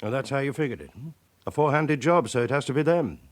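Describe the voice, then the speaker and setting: Senior male inspector, radio drama: terse, questioning, sceptical, lively pitch movement. Male actor. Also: fast, close to an everyday voice. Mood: sceptical, stern. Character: sceptical senior police chief inspector, exacting. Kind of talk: naturalistic radio drama acting.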